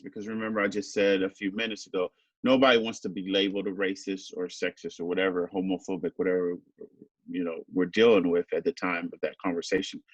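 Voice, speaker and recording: A man's voice: fairly low pitch, a bit bright, no room sound, poor audio. A middle-aged man from Haiti, a teacher answering student interview questions thoughtfully, with many fillers and a habit of adding "right?".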